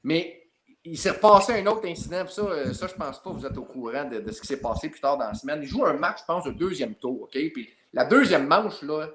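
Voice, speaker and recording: A man with a Québécois French accent, a sports journalist guest telling a lively story in a podcast chat. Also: fairly high pitch, brisk. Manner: lively, builds up his story with repeated tags.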